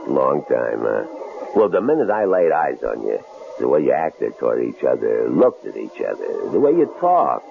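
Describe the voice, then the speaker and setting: A man's voice: extremely low pitch, huge pitch swings, moderate pace, creaky, heavily acted. An actor in a 1970s radio drama playing a big, cold-blooded thug who taunts his captives with mocking, leering familiarity. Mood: taunting, leering. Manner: leering, mocking, folksy drawl-out phrasing. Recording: noisy, muffled old radio broadcast recording.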